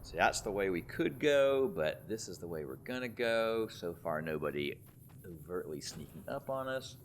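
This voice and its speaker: A man's voice: low-pitched, expressive, moderate pace, slight background noise. A man giving casual, rambling commentary as he plays a video game, thinking aloud. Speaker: gaming video host.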